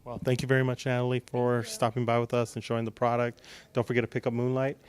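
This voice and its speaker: A man's voice: medium pitch, monotone, fast talker, clear, resonant tone. A man hosting a casual promotional interview in an encouraging, complimentary manner. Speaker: interview host.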